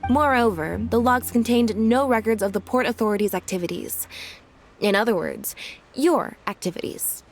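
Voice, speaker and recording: A woman playing a calm, deductive young detective in a video game, speaking in measured, articulate and deliberate exposition. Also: on the high side. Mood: coolly accusing. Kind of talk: scripted video game dialogue. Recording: music behind the voice.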